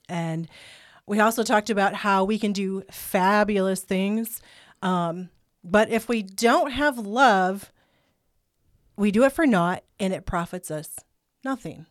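A woman's voice: medium pitch, animated, a little slow, no echo, studio quality. Chatty older woman podcast host. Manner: chatty, laughs and jokes, talks through a cough drop. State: has been sick, clears throat.

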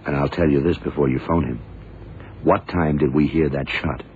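Very deep-voiced, methodical radio detective. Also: male voice, steady tone, moderate pace. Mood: intent puzzling. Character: shrewd, methodical male detective, calm and sure of himself. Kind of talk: 1970s radio drama acting. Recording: dull, noisy old radio recording.

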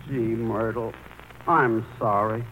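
An actor playing a sulky, halting man in his thirties who still lives at home, in a 1940s radio play heard through a tinny, noisy broadcast. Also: fairly low pitch, steady tone, a bit bright. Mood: glum, hesitant. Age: 30s. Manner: halting, aggrieved, brooding. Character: sulky, indecisive 38-year-old son living at home.

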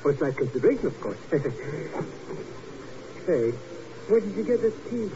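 An actor playing a dim-witted, greedy small-time crook sidekick in a 1950s radio drama, bumbling through slangy, stammering bluster. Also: male voice, high-pitched, very animated, normal speed. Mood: wheedling, greedy. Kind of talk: 1950s radio drama comic-relief acting. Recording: noisy, muffled 1950s radio recording.

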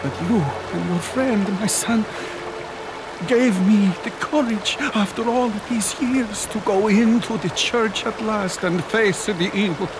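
An actor playing a tortured old priest in a radio drama, grave and solemn, fervent and burdened with guilt. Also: male voice, sits high, slow, background noise. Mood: solemn, weary. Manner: grave, solemn, intoning prayers.

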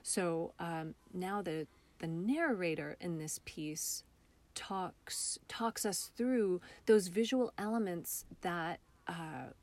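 An American woman speaking as a guest artist in a reflective interview, thoughtful and halting as she searches for words. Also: on the low side, darkish tone. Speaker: guest, local artist and theatre maker.

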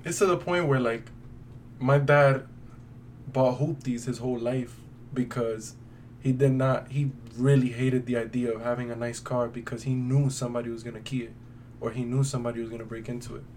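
A man talks casually as a podcast co-host, in a middle-pitched voice. His delivery is colloquial and emphatic. He sounds a little away from the microphone, with faint noise around him. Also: steady tone, moderate pace, darkish tone. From New York City. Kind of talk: casual conversational podcast chat. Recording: distant mic, faint background noise.

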